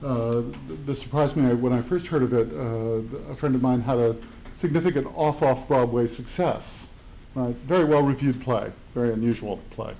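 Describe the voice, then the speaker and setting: A man moderating a panel discussion, talking haltingly and leaning on fillers as he tells an anecdote. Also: mid-range voice, vocal fry, dark tone, a little hiss or hum, low-quality recording. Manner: halting, full of "sort of" fillers. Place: campus event hall.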